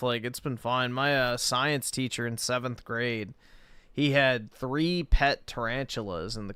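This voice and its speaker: A man's voice: medium pitch, fairly bright tone, smooth, no echo. A man telling laid-back, rambling anecdotes in casual chat on a livestream.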